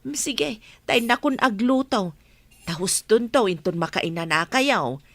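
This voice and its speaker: Respectful household helper. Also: female voice, mid-range voice, lively intonation, unhurried, fairly bright tone, no echo. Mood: attentive, courteous. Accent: Ilocano. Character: attentive, respectful household maid nanny. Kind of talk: Ilocano television drama acting.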